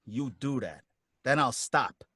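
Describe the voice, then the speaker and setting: An actor playing a nervous, put-upon cab driver who stammers and pleads in folksy slang, in a live old-time radio drama. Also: male voice, mid-range voice, animated, a little slow, pushed and bright, husky, dry recording, studio quality, exaggerated voice. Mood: uneasy.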